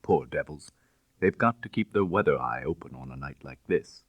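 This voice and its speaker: A man plays a deep-voiced gentleman thief from a classic crime story, suave and cynical. His delivery is breezy and commanding, swinging widely in pitch as he teases in old-fashioned upper-class slang. The sound is close and very dry. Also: animated, normal speed, no echo, highs cut off, full character voice. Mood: jaunty, confident. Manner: jaunty, teasing, Edwardian gentlemanly slang. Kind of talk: dramatised audiobook reading of classic fiction.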